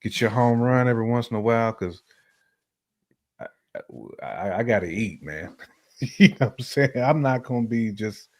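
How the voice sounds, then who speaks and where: A man's voice: medium pitch, normal speed, long pauses. A man co-hosting a relationship podcast, chatting casually and colloquially with opinionated, slangy phrasing and repeats for emphasis.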